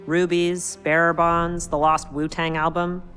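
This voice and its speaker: An actress playing a bold, quick-witted young woman who talks her friends into a heist, in a game cutscene. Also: lowish voice, flat delivery, a bit bright, big-room sound, soft background music. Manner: cocky, persuasive, quick-witted. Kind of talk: video game cutscene acting.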